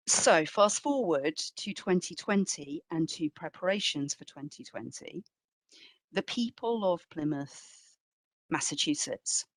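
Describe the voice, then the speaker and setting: Casting: woman guest speaker, low register, unhurried, explanatory storyteller with emphatic phrasing, close dry sound. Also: no echo, poor audio. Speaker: exhibition curator, guest speaker. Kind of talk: answering an audience question in an online talk.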